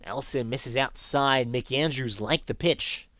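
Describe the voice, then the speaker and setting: A man's voice: mid-range voice, slow pace, a bit bright. A man calling live baseball play-by-play as the announcer of a sports broadcast.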